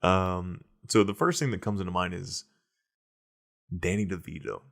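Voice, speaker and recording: Guy with a lowish voice doing casual podcast banter, kinda excitable and slangy. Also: moderate pace, vocal fry. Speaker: podcast host.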